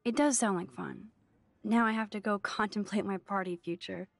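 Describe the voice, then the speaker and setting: Game role: shy teen girl, breathy husky tone, dry sarcasm, dead-dry studio sound. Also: medium pitch, moderate pace, dry recording. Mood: wry. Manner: soft-spoken, dryly sarcastic. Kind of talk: video game voice acting.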